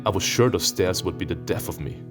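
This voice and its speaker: A man reading a visual novel aloud, expressive and playful, breaking into casual, profane asides. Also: low-pitched, brisk. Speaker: let's player. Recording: music behind the voice.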